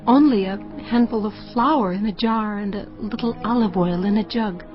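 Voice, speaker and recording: A woman's voice: medium pitch, moderate pace, highs cut off, faint music behind, close to an everyday voice. A woman playing a poor widowed mother in a dramatised audio Bible, earnest, plaintive and full of emotion. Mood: weary despair.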